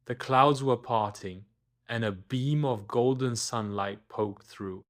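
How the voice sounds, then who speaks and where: A man's voice: medium pitch, slow. A man reading a scripted children's bedtime story as its narrator.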